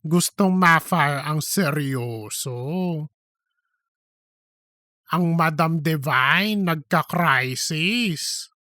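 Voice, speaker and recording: A man acts out a campy, cheeky office gossip for a comedy audiobook. The voice is bright and sharp, drawn out at a slow pace with dramatic slangy flair, and recorded very dry. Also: fairly high pitch, no echo, full character voice. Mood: gossipy. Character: gossipy, cheeky, self-promoting office worker named Cheese.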